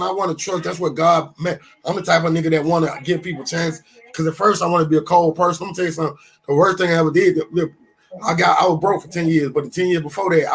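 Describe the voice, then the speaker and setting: Fired-up high-voiced man in his fifties. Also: gravelly. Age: 50s. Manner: animated, swearing, storytelling with restarts. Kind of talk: casual podcast talk.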